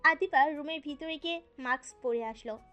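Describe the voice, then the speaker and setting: High-pitched woman narrating a romantic story. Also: normal speed, pushed and bright, faint music behind.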